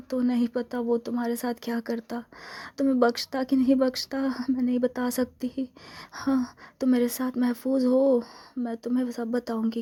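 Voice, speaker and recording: Woman playing a breathy, whispering, seductive vampire woman. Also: fairly high pitch, mellow. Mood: possessive, protective. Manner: breathy, lingering, intimate murmur with hums. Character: seductive, lonely vampire woman, obsessive yet coaxing. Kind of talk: soft-spoken whispered ASMR role-play.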